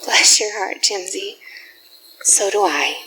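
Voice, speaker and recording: Woman playing a gentle, slow-speaking aunt. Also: mid-range voice, a little hiss or hum, rough recording. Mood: hushed, tender. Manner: tender, coaxing. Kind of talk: radio drama acting.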